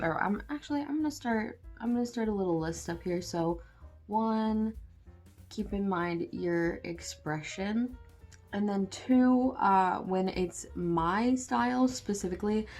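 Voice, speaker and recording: Low-voiced woman, a bit hoarse. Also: darkish tone. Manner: rambling, filler-heavy, self-deprecating. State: just woken up, a bit hoarse. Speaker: art channel host. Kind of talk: casual drawing tutorial. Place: at a desk, filming her drawing from overhead.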